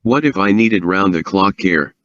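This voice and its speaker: A man's account is delivered as a prepared reading in a synthesized text-to-speech voice, fluent and even with no fillers. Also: sits low, normal speed, dull audio. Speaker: author and guest.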